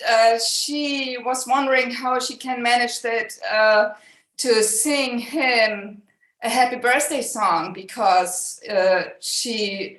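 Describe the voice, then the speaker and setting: A woman from Germany, calling in over a video call and speaking in a halting, heartfelt way with frequent pauses. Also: a little high, fairly bright tone, reverberant, low-quality recording. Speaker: caller. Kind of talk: emotional call-in sharing.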